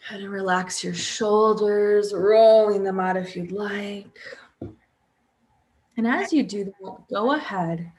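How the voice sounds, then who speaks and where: A woman guiding a sleep hypnosis session as a hypnotherapist, calm, with drawn-out pauses and audible breaths. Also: mid-range voice, poor audio. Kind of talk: guided sleep hypnosis, soothing relaxation script.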